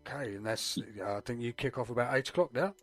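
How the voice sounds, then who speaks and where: Male radio interviewer, average pitch, casual conversational delivery, faint music underneath. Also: expressive, fast, fairly bright tone.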